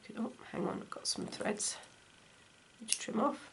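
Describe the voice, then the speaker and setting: A woman with a croaky voice from a cold, chatting casually as she demonstrates stitching at home. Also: a little high, moderate pace. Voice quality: slightly gravelly. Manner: chatty, talks while stitching. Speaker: textile crafts teacher. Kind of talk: casual craft tutorial. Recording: faint appliance hum behind. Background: dishwasher running.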